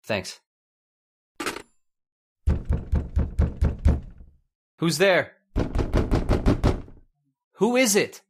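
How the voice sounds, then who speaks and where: A woman's voice: lowish voice, sing-song, drawn-out words, noisy background, exaggerated voice. A woman playing a worried, agitated husband in an old-time radio horror play, urgent and earnest with stammers. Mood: anxious.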